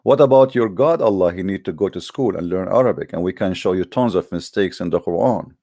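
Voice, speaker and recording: A man's voice: deep voice, brisk, dark tone, no room sound, studio quality. A man who is not a native English speaker, hosting an online debate show and addressing viewers in a combative, emphatic way with frequent hesitations. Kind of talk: addressing viewers before taking calls.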